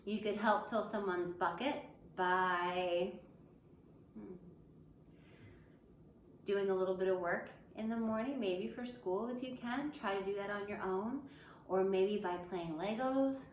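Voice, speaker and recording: A woman's voice: mid-range voice, slow pace. Echoey room, lady teacher doing a kids read-aloud, encouraging, bit of noise behind her. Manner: simple, encouraging phrasing aimed at young children. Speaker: elementary school teacher. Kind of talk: children's picture book read-aloud with asides to pupils.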